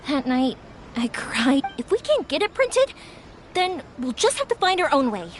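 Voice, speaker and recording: Very high-pitched, eager young heroine. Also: female voice, sing-song, normal speed, faint music behind. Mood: earnest, determined. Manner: eager, earnest, encouraging. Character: cheery, determined young festival-loving woman. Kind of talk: video game voice acting.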